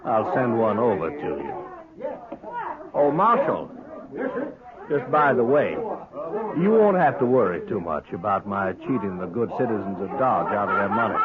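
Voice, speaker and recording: Smug Old West gambling man: lively swoops, taunting charm, muffled radio drama sound over a loud barroom crowd. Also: lowish voice, normal speed, pauses often. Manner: mocking, smooth-talking. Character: smug, sly Old West saloon owner. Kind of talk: 1950s radio western acting.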